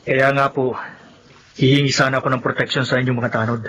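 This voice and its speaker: Eager, fast-talking young Filipino son played by a man. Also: mid-range voice, level tone, fairly bright tone, slight background noise, close to an everyday voice, low-quality recording. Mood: worried. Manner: earnest, respectful to elders. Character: eager, stubborn young village son who keeps sheep. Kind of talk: Filipino horror radio drama acting.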